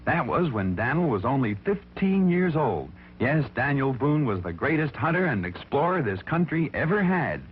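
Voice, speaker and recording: A man tells a story for children with emphatic, folksy drama. His medium-pitched voice swings widely in intonation. The recording is old and narrow, like a telephone line, with music playing behind him. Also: very animated, normal speed, hardly any pauses, slight background noise. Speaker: narrator. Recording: thin, phone-like vintage recording with music behind.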